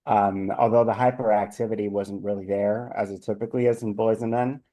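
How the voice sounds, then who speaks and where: Male guest in his 30s from NYC, low mellow voice, candid personal storytelling. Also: steady tone, a little fast. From New York City. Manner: open, reflective, with frequent restarts. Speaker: life coach, guest. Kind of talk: conversational storytelling.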